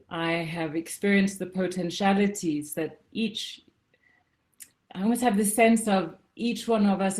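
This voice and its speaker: A woman from Denmark and Zimbabwe, speaking as a webinar guest over a video call in a slow, reflective way with many pauses and ums. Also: fairly low pitch, darkish tone.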